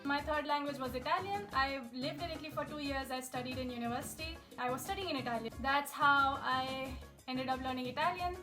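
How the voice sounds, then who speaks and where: Young woman, high voice, bubbly tips chat to camera, guitar music behind. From India. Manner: chatty, upbeat, talks straight to viewers. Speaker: language-learning YouTuber. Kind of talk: casual tips video to camera.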